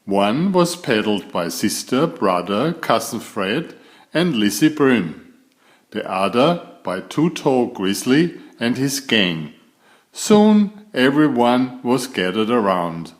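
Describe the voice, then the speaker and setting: A man reading a children's picture book aloud in a deliberate, expressive storytelling way. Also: medium pitch.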